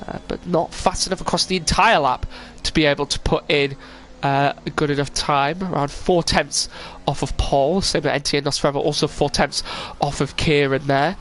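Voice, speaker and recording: A man's voice: a little high, steady tone, normal speed, few pauses, a bit bright, a little hiss or hum. A young man with a cold, commentating a sim racing stream casually with lots of fillers. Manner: casual, rambling with many fillers. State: full of cold, sniffly. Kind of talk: live stream race commentary.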